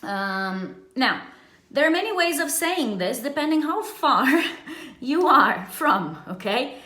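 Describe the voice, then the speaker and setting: A woman's voice: high-pitched, sing-song, slow, sharp tone. A woman giving an informal bilingual language lesson as a teacher, often checking in with short tag questions. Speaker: English teacher. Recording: echoey, roomy sound.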